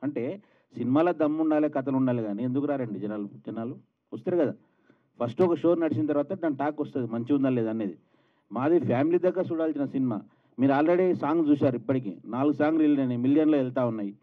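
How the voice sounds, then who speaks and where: A man's voice: medium pitch, very fast, narrow, tinny audio. A man with a Telangana Telugu accent, a film producer insistently and confidently answering media questions at a press event.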